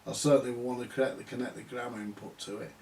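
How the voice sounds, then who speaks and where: A man giving halting, unscripted commentary at a workbench, muttering to himself as he works things out. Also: mid-range voice, little pitch movement, creaky, darkish tone. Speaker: vintage radio repair hobbyist. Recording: distant mic with background hiss.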